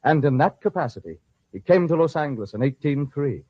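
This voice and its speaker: A man's voice: on the high side, animated, fairly quick, breathy edge, dry recording. A man narrating a scripted old-time radio story in a dramatic, florid storytelling manner. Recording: dull, lo-fi old radio recording.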